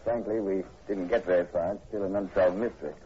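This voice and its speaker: A man's voice: deep voice, fairly even intonation, brisk, breathy edge. An actor playing a skeptical state police sergeant in a 1940s radio horror drama, folksy and matter-of-fact in his delivery. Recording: muffled, hissy old radio recording.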